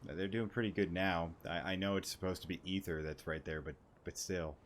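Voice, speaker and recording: A man's voice: deep voice, steady tone, a little fast, a little hiss or hum. A man giving casual playthrough commentary as a gaming host, rambling and repeating himself in an amused way. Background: game music.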